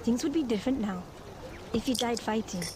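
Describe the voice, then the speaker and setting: A woman voices a serious young rebel leader who talks in a brisk, guarded way. Her voice sits fairly high. Loud game ambience runs behind her. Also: noisy background, close to an everyday voice. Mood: earnest. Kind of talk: video game acting.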